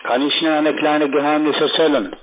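A man with a foreign accent, not a native German speaker, working as a call centre agent and speaking in halting, formal phrases. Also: a little high, steady tone, faint music behind. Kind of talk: phone sales conversation. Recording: tinny phone line, busy chatter behind. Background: crowded call centre chatter.

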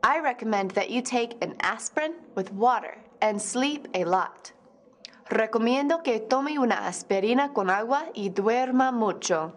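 A woman reading the lines of a doctor who gives health advice in a slow, measured way. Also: on the high side, animated. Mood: advising. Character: calm adult doctor giving advice.

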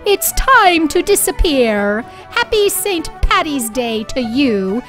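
A woman's voice: high-pitched, very animated, takes it slowly, a bit bright, faint music behind, exaggerated voice. A woman voicing a tiny, tricky male leprechaun who gloats and teases in a storybook. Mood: gleeful, taunting. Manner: teasing, gloating.

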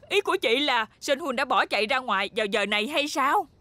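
A woman voicing a brisk, emphatic mother of a schoolgirl in a cartoon dub. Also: high-pitched, lively intonation, pushed and bright, faint music behind. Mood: shocked.

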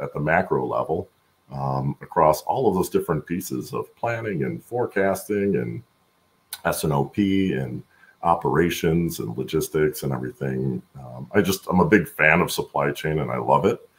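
Very deep, gravelly-voiced man. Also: moderate pace. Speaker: podcast guest, supply chain executive. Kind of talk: conversational interview answers. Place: indoor room at home, on a video call. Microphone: video call.

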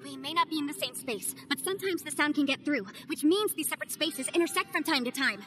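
Game voice: a bright-voiced, analytical young adviser, high pitch, music underneath. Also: female voice, fast talker. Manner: analytical, earnest, persuasive. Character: sharp, confident young woman legal adviser.

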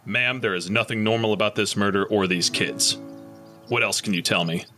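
A man's voice: on the low side, normal speed, pushed and bright. A man playing a world-weary, skeptical murder investigator in an audio drama, terse and matter-of-fact. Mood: weary, probing. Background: music.

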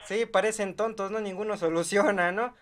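A man's voice: sits high, fairly even intonation, normal speed, close to an everyday voice. A young man playing an insistent, grumbling older rancher in a prank call, pleading in a hesitant, folksy, repetitive way. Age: young adult. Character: insistent rural rancher, older man, stubborn, grumbling, attached to his donkey. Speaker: prank caller. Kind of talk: improvised prank phone call. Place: small bedroom studio, indoors.

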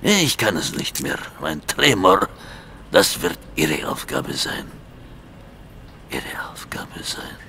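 An actor playing a grandiose, obsessive mad surgeon in an audio drama, halting and theatrical, menacing and fond of repeating his own phrases. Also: low-pitched, big pitch swings, vocal fry, pushed and bright, whispered, full character voice. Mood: instructing, muttering. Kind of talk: German audio drama acting.

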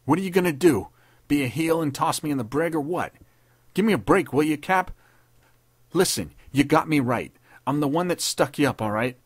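A man's voice: on the high side, expressive, normal speed, husky, dry recording, voice pushed up. A man voicing a frightened young private, an ex-gangster who pleads and whines in street slang. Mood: pleading. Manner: whining, pleading, slangy, stammering.

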